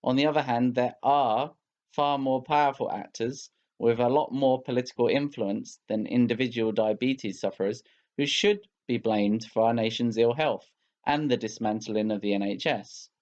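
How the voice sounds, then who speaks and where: A man's voice: mid-range voice, moderate pace, a little mellow. A man reading a scripted political commentary in a formal, polemical manner on a slightly dull recording.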